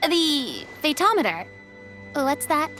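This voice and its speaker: Sweet, very high-voiced young woman. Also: big pitch swings, slow pace, soft background music, exaggerated voice. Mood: curious. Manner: hesitant, gentle. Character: sweet, shy, curious young woman new to games. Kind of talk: video game voice acting.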